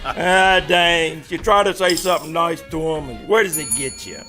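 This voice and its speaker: An actor playing a dim, cocky ex-convict in a radio crime drama, talking in slangy, wheedling, streetwise fashion. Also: male voice, fairly high pitch, normal speed, over music, lightly acted. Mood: needling, eager. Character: dim, cocky small-time male ex-convict crook.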